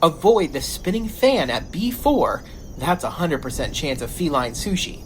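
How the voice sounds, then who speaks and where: An actress playing a dry, distractible tech hacker in a sci-fi comedy audio drama, methodical and muttering to herself. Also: female voice, sits low, sing-song, moderate pace. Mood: dry briefing. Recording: noisy track with clicks.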